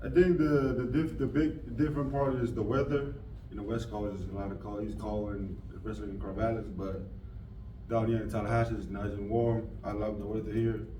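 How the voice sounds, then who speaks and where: A young man from Tonga, a college football player, giving relaxed, plain answers with fillers at a press conference. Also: lowish voice, a little fast. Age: young adult. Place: press room. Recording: echoey room, distant mic, muffled, noisy.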